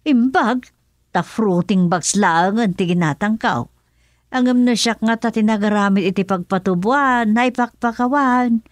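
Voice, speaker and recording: A woman's voice: medium pitch, measured pace, no room sound, natural voice. A woman playing a good-natured, hardworking country mother in a radio drama, chatty and explanatory. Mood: cheerful, explaining.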